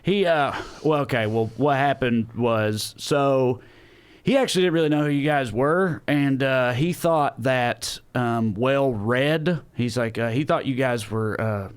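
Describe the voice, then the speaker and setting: Guy podcast host, tells loose comic stories, swears some, keeps doubling back on himself, average pitch. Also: a little slow, smooth. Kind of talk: casual comic storytelling.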